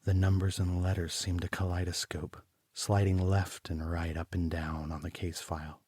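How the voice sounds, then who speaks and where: An actor playing a troubled homicide detective, narrating his case in a deliberate, brooding, literary style for an audio drama. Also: low voice, level tone, a little mellow, husky, dry recording, studio quality. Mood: brooding, uneasy. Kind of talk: scripted first-person noir audio drama narration.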